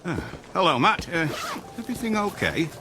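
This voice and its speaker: Folksy old mailman, wildly swooping pitch. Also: huge pitch swings, normal speed, very noisy. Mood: friendly concern. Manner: hesitant, folksy. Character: folksy old island mailman, sixty-two, puzzled but neighborly. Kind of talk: radio drama acting.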